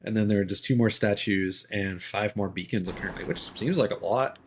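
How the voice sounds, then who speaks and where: Young guy streamer, lowish voice, rambly with lots of ums. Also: a little fast, slight background noise. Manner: rambling, many fillers, reads item text aloud. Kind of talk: casual gameplay commentary.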